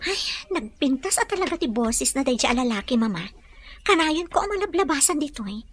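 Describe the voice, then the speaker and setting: A woman acting a bashful, warm-hearted young hospital worker in an Ilocano radio-style drama, earnest and expressive, with an Ilocano Filipino accent. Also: high-pitched, brisk, faint music behind.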